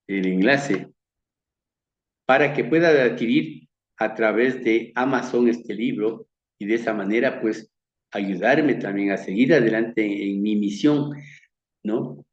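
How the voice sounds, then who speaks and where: A man from Ecuador with a Quito accent gives a measured, earnest Bible lecture over pictures, often repeating words and letting his phrases fade softly. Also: on the low side, roomy sound, muffled recording. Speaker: Bible teacher. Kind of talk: slow sermon-like slideshow lecture.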